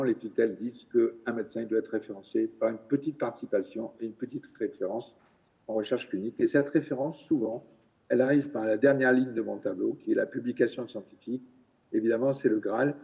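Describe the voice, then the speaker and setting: A man's voice: mid-range voice, vocal fry, dark tone, husky, low-quality recording. A middle-aged male doctor giving a conference presentation, hesitant, often repeating words as he searches for them. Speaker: physician giving a conference talk.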